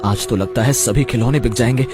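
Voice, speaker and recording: A man's voice: mid-range voice, fairly quick, lightly acted. A man playing a poor, earnest village potter in a Hindi cartoon story, speaking in a theatrical, emphatic way. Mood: cheerful. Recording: background music throughout.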